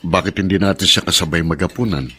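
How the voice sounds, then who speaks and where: A man acting a caring, supportive husband in a Filipino radio drama, with a husky, concerned way of talking. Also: low voice, fast talker, bright, forceful tone, full character voice. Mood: concerned, curious. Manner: concerned, inquisitive, homely.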